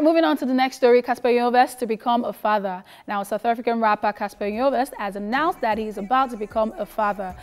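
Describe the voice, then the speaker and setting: A woman co-hosting an entertainment show, chatting casually and reading out news items. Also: fairly high pitch. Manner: echoes co-hosts' words, reads news items briskly. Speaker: co-host.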